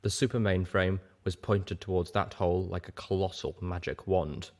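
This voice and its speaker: A man's voice: low-pitched, steady tone, normal speed, a little mellow. A man reading scripted first-person fiction as an audiobook narrator.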